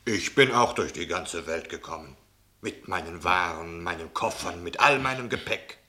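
A man's voice: deep voice, expressive, normal speed, pushed and bright. An actor playing a boastful, prickly travelling salesman in a radio play, emphatic and self-important. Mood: indignant pride.

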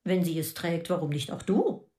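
A woman's voice: fairly low pitch, lively intonation, moderate pace, dark tone, lightly acted. An actress playing an angry, proud middle-aged mother who rants with sarcasm in a radio drama. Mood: bitter.

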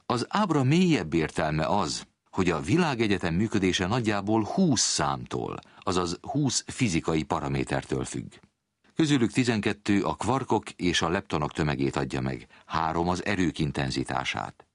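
Very deep male reader, dry sound. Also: very animated, no echo. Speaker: reader of book excerpt. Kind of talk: scripted literary reading.

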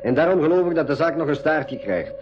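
Adventure radio drama: male actor as earnest, watchful crewmate, lively intonation, dull noisy recording. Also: fairly high pitch, fast, lightly acted. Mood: wary, reasoning. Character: alert, wary male crewmate on an adventure craft. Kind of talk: 1980s school radio drama acting.

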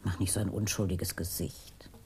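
A woman's voice: extremely low pitch, steady tone, normal speed, dark tone, husky, full character voice. An actress playing a tall, seductive, imperious Russian woman who is teasing and blunt in a radio play. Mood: blunt, commanding. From Russia (the figure only). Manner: teasing, commanding, flirtatious. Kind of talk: radio drama acting.

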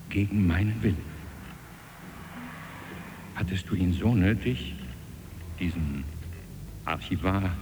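Older possessive patriarch in eighties radio play: very low, accusing, self-important, clear tape noise. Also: male voice. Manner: accusing, probing. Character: possessive, disapproving, self-important father of Anna. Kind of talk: 1980s German radio drama acting. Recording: noisy cassette copy of radio play.

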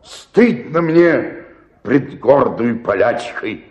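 A grandiose aging tragic actor, swooping wildly. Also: male voice, medium pitch, sing-song, extremely slow, darkish tone. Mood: rapturous, nostalgic. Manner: declamatory, theatrical, swinging from murmur to roar. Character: aging tragic stage actor, drunk on memories, grandiose, self-pitying. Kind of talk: radio play acting, stage monologues.

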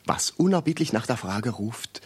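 An actor in a German radio play, playing an impoverished, pedantic amateur sleuth who speaks formally and deferentially in long, elaborate sentences. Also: male voice, mid-range voice, lively intonation, moderate pace, breathy edge. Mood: earnest, insistent.

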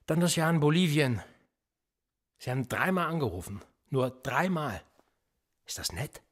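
An actor playing a police interrogator in a radio play, sardonic and menacing, needling with theatrical rhetorical questions. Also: male voice, on the high side, animated, normal speed. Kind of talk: naturalistic German radio play acting.

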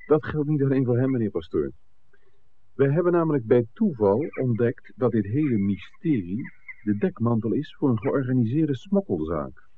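An actor playing an earnest, persistent visitor looking into village secrets in a 1960s Dutch radio drama, polite and articulate. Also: male voice, medium pitch, very animated, normal speed, slight background noise, natural voice. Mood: polite, insistent. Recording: thin, phone-like old radio recording.

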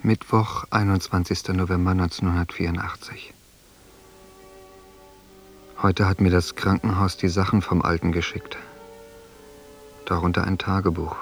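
Gravelly, brooding diary reader. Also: male voice, deep voice, level tone, faint music behind. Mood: brooding, subdued. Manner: measured, introspective, terse. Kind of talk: radio drama monologue, diary reading.

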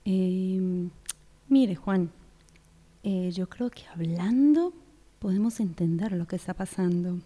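A woman in a radio drama exercise playing a calm woman earnestly urging a talk, formal and hushed, in a reverberant space. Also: fairly low pitch, slow pace. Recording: long hall reverb.

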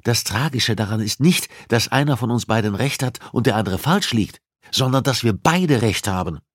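A male narrator plays a cold male criminal profiler in an audiobook. He speaks with commanding, deliberate insistence at a raised pitch. The sound is dry and free of room. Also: lively intonation, husky, dry recording. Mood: grave, insistent. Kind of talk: audiobook dialogue acting.

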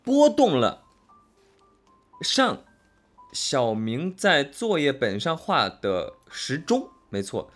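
Lively male host of a casual livestream. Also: mid-range voice, slow pace, pauses often, faint music behind. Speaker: host running a fan quiz livestream. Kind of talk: casual livestream hosting and chat.

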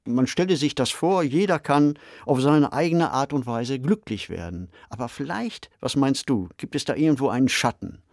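Animated male podcast host. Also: medium pitch, moderate pace, few pauses, no room sound, clean studio sound. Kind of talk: introducing a radio play, conversational presenting.

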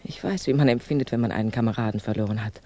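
An actress playing a composed, candid older female revolutionary in a 1960s German radio drama, measured, admonishing and probing. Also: very deep voice, warm tone. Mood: earnest, certain.

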